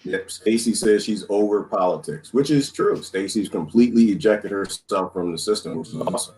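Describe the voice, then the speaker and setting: A man's voice: lowish voice, a little fast, low-quality recording. An American man hosting a casual group chat on a livestream. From United States. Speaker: livestream panel host. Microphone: online group call. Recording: steady background noise on livestream call.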